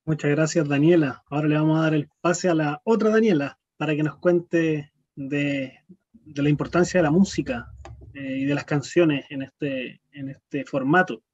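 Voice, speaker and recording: A man's voice: on the high side, moderate pace, a little mellow, slightly rough, no room sound, muffled recording. A man moderates a panel on a video call, handing over between speakers.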